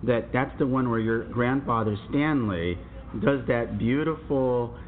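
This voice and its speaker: A man's voice: medium pitch, unhurried, slight background noise. Chatty middle-aged man, tinny phone-like sound. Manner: easygoing, chatty with fillers and restarts. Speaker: podcast host. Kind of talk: casual co-hosted podcast chat.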